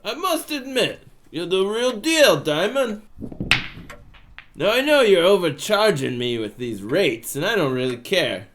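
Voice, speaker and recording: A man's voice: high-pitched, very animated, unhurried, a bit bright, slight background noise, heavily acted. A man playing a 1920s gangster boss, genial but menacing, talking in slangy wiseguy patter in a noir radio drama. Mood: relaxed, expansive.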